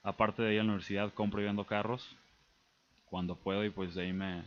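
Young Mexican man, low and hesitant. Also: quick pace, highs cut off. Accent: Mexican Spanish. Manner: relaxed, hesitant, many fillers. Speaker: guest. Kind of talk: casual radio interview.

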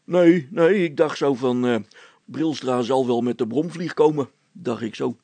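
Man playing a gravelly, simple old village policeman. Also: medium pitch, unhurried, mellow, no echo. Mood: amused. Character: simple, good-natured old village policeman, slow-witted seeming.

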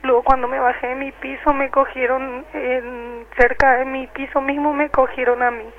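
A woman's voice: sits high, level tone, normal speed. A woman giving personal testimony over the telephone in a radio interview. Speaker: detainee giving testimony by phone. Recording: muffled, noisy telephone line.

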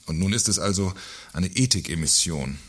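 A man acting a gruff, sarcastic, pompous middle-aged company director in a satirical radio play. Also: deep voice, expressive, normal speed.

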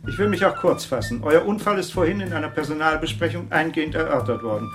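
An officious, gloating police superior in a German radio crime drama. His voice is on the high side and swings dramatically, curt and smug, with music behind. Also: huge pitch swings, fast.

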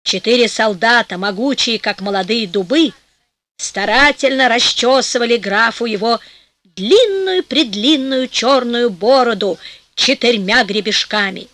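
A woman narrating a fairy tale in a lively storytelling manner for an audiobook, slowing down for rhymed passages. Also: a little high, no echo.

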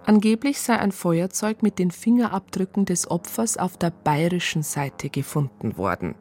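A woman with a husky voice reading scripted narration for a radio drama. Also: lowish voice, animated, moderate pace, darkish tone.

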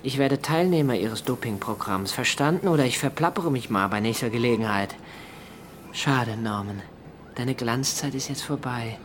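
Radio drama role: man playing swaggering young student, mid-pitched, sneering and jealous, slight noise behind. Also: normal speed, close to an everyday voice. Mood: smug, threatening. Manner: mocking, taunting, swaggering.

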